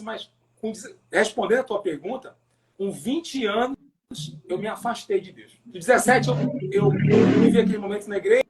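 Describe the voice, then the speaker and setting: A man from Pará with a northern Brazilian accent telling his personal testimony as a pastor in a casual interview, haltingly, repeating words and adding tag questions. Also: sits high, rough recording. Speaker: pastor, podcast guest.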